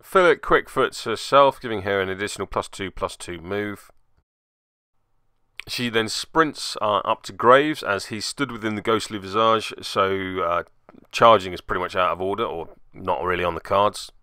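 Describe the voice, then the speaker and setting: A man's voice: fairly low pitch, normal speed, bright, forceful tone, smooth, no room sound, studio quality. A man hosting and narrating a tabletop game move by move, with theatrical flourishes and wry asides. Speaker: podcast host and player.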